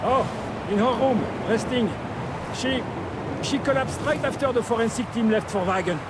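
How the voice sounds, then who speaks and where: A concerned, talkative man in an adventure game. His voice is notably high for a man. Loud ambient noise surrounds his words. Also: normal speed. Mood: worried. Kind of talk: video game voice acting.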